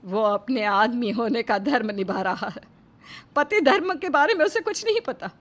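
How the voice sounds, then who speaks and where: Woman acting a middle-aged mother-in-law, firm, protective, emphatic, higher-pitched, cartoon family drama. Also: animated, normal speed, fairly bright tone. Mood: worried, bitter. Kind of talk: Hindi cartoon family melodrama.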